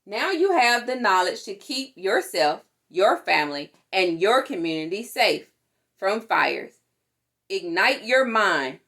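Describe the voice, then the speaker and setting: A woman reading a children's safety book aloud in an animated, instructive manner. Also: medium pitch. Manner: animated, instructive, acts out sound words. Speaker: storytime reader.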